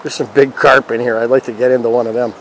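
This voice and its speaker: A man's voice: medium pitch, flat delivery, fast, rough recording. A man gives casual, folksy fishing commentary, chatting to viewers as he fishes outdoors. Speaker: fishing vlog host. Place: outdoors standing in a river. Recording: clear outdoor river and ambient noise.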